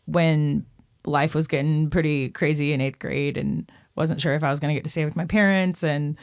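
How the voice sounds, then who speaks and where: A woman's voice: low-pitched, clear, resonant tone, dry recording, studio quality. Chatty woman in her thirties from Texas. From Austin, Texas. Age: 30s. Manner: chatty, lively, laughs often, says "like" a lot. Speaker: guest musician. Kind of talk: casual podcast interview.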